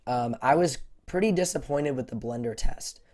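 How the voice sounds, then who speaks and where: A man with a voice hoarse from a cold, answering viewer questions on a casual livestream, rambling with lots of fillers. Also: medium pitch, normal speed, a little mellow. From United States. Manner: rambling, many fillers and restarts. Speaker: tech YouTuber hosting a livestream Q&A.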